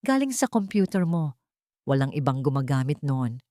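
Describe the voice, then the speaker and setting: A woman voicing a scheming businessman who feigns concern, soft-spoken yet pressing, in an audiobook drama. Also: rumbling low, sing-song, normal speed, no echo, clean studio sound, pitched below the performer's own voice. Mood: feigned concern. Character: smooth scheming businessman, falsely concerned, gently insistent.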